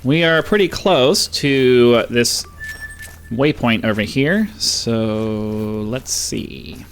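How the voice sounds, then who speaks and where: A man with a mid-pitched voice gives casual play-by-play of a hunting game. He sounds easygoing and thinks aloud in short bursts. He is close to the microphone. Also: extremely slow, slight background noise. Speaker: gaming video host.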